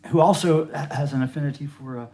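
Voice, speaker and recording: Male collector-vlogger, voice a bit on the high side, casual and rambling show-and-tell delivery. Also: steady tone, fast talker, mellow. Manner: rambling, offhand, conversational. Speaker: record collector host. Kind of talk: casual show-and-tell vlog about records.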